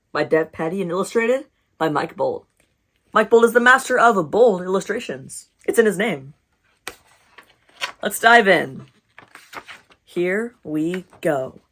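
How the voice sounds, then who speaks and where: A woman reading a picture book aloud as storytime host, expressive and encouraging, with rhetorical questions and asides. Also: mid-range voice.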